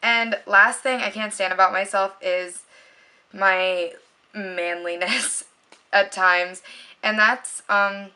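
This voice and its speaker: A young woman chatting casually to the camera in a home vlog, rambling with lots of likes and ums in a low voice. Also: fairly even intonation, slow, bright, forceful tone. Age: young adult. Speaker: beauty vlogger.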